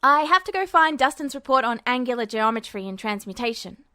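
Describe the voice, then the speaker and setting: A woman voicing a brash, impatient young alchemist who talks quick and defiant, in a fan audiobook reading. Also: a little high, lively intonation, pushed and bright, smooth, dry recording, studio quality.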